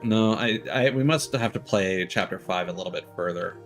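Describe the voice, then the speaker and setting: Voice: low-pitched, normal speed. A game streamer giving casual gameplay commentary, rambling and musing aloud. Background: video game music.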